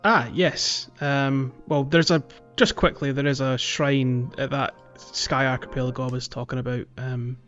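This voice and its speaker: A man's voice: mid-range voice, steady tone, a little slow, few pauses, fairly bright tone. A man co-hosting a podcast, casually explaining game details in an informal way, on a slightly lo-fi recording. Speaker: podcast host. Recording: slightly dull, lo-fi microphone sound. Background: faint music.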